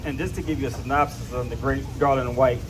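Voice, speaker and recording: A man's voice: mid-range voice, steady tone, quick pace. A man giving an informal talk to a live audience as presenter, emphatic, repeating phrases and asking listeners questions. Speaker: presenter at a history event. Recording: live event with faint noise.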